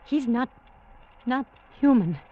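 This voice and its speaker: A woman's voice: a little high, expressive, slow, slight background noise. An actress playing a fearful, secretive young woman in a vintage radio melodrama, speaking in an anxious, pleading way. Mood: frightened. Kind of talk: 1940s radio drama acting. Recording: dull vintage radio recording.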